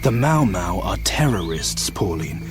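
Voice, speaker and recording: Radio drama: domineering colonial hardliner, lowish pitch, dismissive and self-righteous, music behind. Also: male voice, sing-song, a little slow. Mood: angry, scornful. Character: hardline British colonial official, domineering husband in Kenya. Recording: music under the dialogue.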